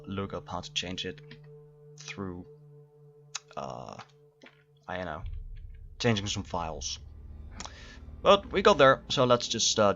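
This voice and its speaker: Male streamer, low voice, loose chatty delivery, muffled stream audio. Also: fairly bright tone. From Netherlands. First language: Dutch. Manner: chatty, rambling with restarts. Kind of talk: live gameplay commentary.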